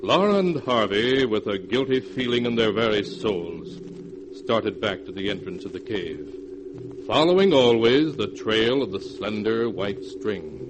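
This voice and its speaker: Low, ominous vintage radio horror narrator. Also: male voice, expressive. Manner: ominous, theatrical, deliberate. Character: ominous storyteller of a sealed book. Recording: noisy vintage radio recording.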